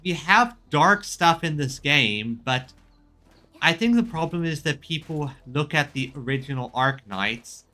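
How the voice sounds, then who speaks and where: A man streaming a game, chatting casually in a halting way, often repeating and rephrasing himself mid-sentence. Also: a little high, animated, bright, forceful tone. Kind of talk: casual chat commentary on a game. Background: music in the background.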